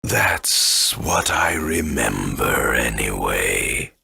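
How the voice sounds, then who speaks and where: A man voicing a huge, ancient great elder tree in a video game, with a raspy voice and a halting, rambling way of remembering. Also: pushed and bright, no echo, exaggerated voice. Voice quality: very deep, gravelly, raspy. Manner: slow, halting, rambling recollection.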